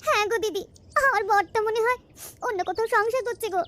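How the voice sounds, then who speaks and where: Woman playing a very high-pitched plaintive village wife. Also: fast talker, a bit bright. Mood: tearful, distressed. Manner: plaintive, colloquial. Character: anxious, aggrieved young village wife. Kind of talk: cartoon story acting.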